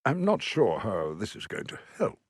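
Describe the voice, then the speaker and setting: An older therapist with a British accent, speaks in a slightly husky voice with wide, lilting swings in pitch. He stays measured and politely reserved, sounding close and dry. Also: wildly swooping pitch, dry recording, heavily acted. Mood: calm. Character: calm older male therapist. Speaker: child psychologist. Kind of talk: animated sitcom voice acting.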